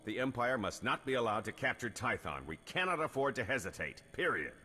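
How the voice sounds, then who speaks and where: An actor playing a stern, driven military colonel in a video game, speaking in a clipped, formal, commanding way. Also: male voice, medium pitch, very animated, a little fast, sharp tone, slightly rough, heavily acted. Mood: urgent, commanding. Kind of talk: video game cutscene acting. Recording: long hall reverb.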